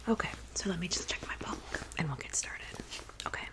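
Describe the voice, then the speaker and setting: Girl doing a witch in her cabin, asmr roleplay, all whispered n breathy, slow and close, lowish. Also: animated, natural voice. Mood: soft, mysterious. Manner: unhurried, intimate, addressing the listener as a client. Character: soft-spoken witch mixing love potions in her cabin.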